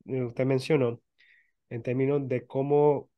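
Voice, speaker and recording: A man, hosting a casual interview in a halting way, often repeating words. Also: mid-range voice, little pitch movement, highs cut off. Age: 30s. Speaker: interview host.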